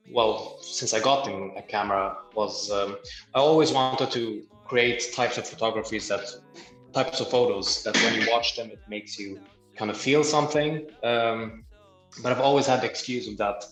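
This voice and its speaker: A man's voice: lowish voice, a bit bright, echoey room, low-quality recording. A young man from Libya, raised in Sweden, answering interview questions casually with many fillers and light laughs. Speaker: guest photographer and doctor.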